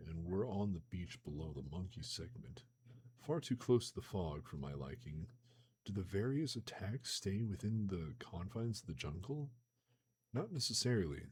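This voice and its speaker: A man with a slightly hoarse voice reading a novel aloud on a live stream, slipping into casual slangy remarks between passages. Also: deep voice. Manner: reads steadily, breaks into excited slangy chat.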